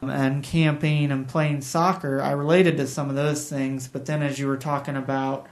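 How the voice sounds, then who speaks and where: An interviewer voice at a middle pitch, asking follow-up questions in an encouraging, conversational way. The phrasing is sometimes halting, as the speaker searches for words. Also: flat delivery.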